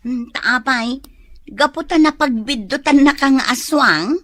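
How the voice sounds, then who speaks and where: Nosy Ilocano neighbour, slightly high. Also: female voice, unhurried, a bit bright, no room sound. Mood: teasing sympathy. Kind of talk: comedic radio drama acting.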